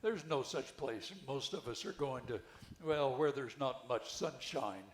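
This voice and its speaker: An older man reading the part of a wry, skeptical widowed retirement-home resident in an amateur play, halting and repeating words. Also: medium pitch, natural voice. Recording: echoey room, background noise.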